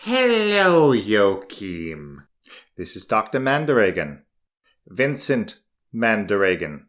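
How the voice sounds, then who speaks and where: A man's voice: lowish voice, huge pitch swings, slow pace, a bit bright, full character voice. A man voicing a pompous, irritable mad scientist in an audiobook monologue, leaving an exasperated, conversational phone message. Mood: fussy, concerned. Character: fussy, irritable mad scientist doctor, a self-absorbed customer. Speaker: audiobook narrator.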